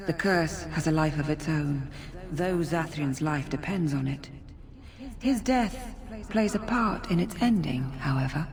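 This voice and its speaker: Serene spirit lady, fantasy game, slow delivery, slightly low pitch, solemn, gentle. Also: vocal fry. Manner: solemn, measured, pleading gently. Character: serene female forest spirit, solemn and merciful. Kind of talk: video game voice acting.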